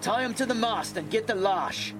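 An actor playing a stern ship's officer in a nautical audio drama, barking orders with authority. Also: male voice, high-pitched, lively intonation, normal speed, music in the background.

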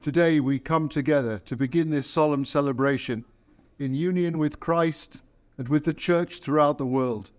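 Male clergy, voice a touch high for a man, solemn measured sermon and liturgy delivery. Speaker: priest leading a Palm Sunday service.

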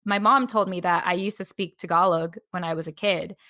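A woman hosting a podcast, talking in a relaxed, rambling way with many "like" fillers. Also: on the low side, brisk, no echo, clean studio sound. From born on a US military base. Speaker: podcast host. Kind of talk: casual conversational podcast.

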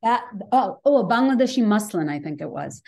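A woman's voice: mid-range voice, expressive, brisk, vocal fry, dark tone. A woman hosting a casual podcast interview, rambling enthusiastically and asking questions. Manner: rambling, enthusiastic, full of fillers. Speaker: podcast host.

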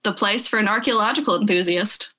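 A woman's voice: medium pitch, quick pace, bright, forceful tone. A woman on a podcast, chatting casually and reading reviews aloud with wry amusement. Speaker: podcast speaker.

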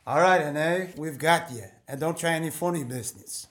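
An actor playing a gruff, bullying henchman posing as a lawman in a radio play, barking threatening orders. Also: mid-range voice, brisk, lightly acted. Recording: noisy student radio play recording.

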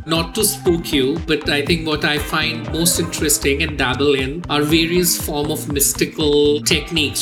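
A man who is a guest product and marketing manager, earnestly listing his experience on a podcast panel. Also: on the high side.

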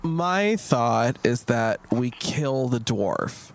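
A man's voice: mid-range voice, expressive, extremely slow, vocal fry, very noisy. Man thinking aloud at the game table. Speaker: player.

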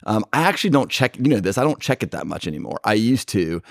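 A man's voice: lowish voice, very animated, fast, no echo, studio quality. A man hosting a casual podcast, talking in a loose, rambling way with fillers and restarts. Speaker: podcast host.

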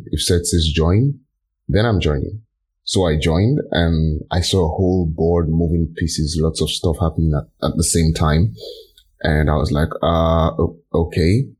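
Casting: man from Nigeria, extremely deep and husky, enthusiastic. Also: a little mellow. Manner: enthusiastic storyteller, acts out his own reactions. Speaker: podcast guest. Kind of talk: casual chat.

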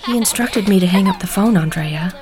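A woman's voice: mid-range voice, brisk, lightly acted. A woman playing an anxious, apologetic employee in an audio drama, hesitant and deferential. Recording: music under the voice. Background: music.

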